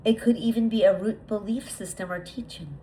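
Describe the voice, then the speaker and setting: A woman guides a meditation in a medium-pitched voice. She speaks deliberately, repeating phrases and leaving lingering pauses as she leads the listener through a visualization. There is faint ambient noise behind her. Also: warm tone.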